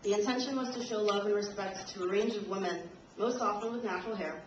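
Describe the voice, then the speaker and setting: A woman's voice: mid-range voice, fast. A young woman reading a prepared speech to an audience, a little nervous and laughing now and then. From Trinidad and Tobago. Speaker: invited speaker. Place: university lecture room. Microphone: camcorder from the audience. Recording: roomy, distant, dull camcorder audio with noise.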